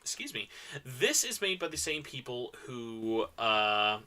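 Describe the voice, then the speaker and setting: Male convention presenter, forceful bright tone, mid pitch, informal and enthusiastic, leans on repeated words for emphasis. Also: very animated, unhurried. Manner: emphatic, repeats words for stress, casual slang. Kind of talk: enthusiastic informal talk recommending games.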